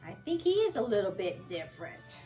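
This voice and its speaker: A woman's voice: medium pitch, big pitch swings, a little fast, dark tone, soft background music. A woman reading aloud at a children's storytime as a librarian, in a sing-song manner with simple questions to young listeners.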